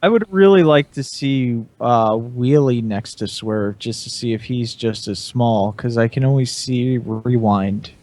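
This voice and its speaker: A man's voice: medium pitch, measured pace, few pauses, a little mellow. A man speaking casually as one of the panelists on a podcast. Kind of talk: casual chat.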